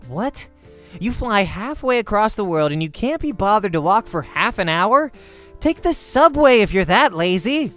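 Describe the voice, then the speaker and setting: Man playing a cocky, animated young pro gamer. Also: sits high, normal speed, soft background music, pitched above the performer's own voice. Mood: brash. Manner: animated, teasing, breezy delivery. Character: cocky, jokey young male pro StarCraft player.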